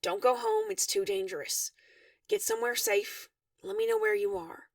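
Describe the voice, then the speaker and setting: A woman's voice: big pitch swings, normal speed, creaky, no echo, clean studio sound, distant mic, heavily acted. A woman voicing a terse, protective young man with a menacing streak, for a story reading. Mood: soft, protective. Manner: low-spoken, curt.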